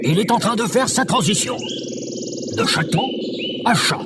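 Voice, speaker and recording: A man's voice: high voice, animated, moderate pace, loud music behind the voice. A man playing eccentric, pompous scarecrow and tin-man characters, musing theatrically in a scripted audio drama. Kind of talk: scripted audio fiction acting.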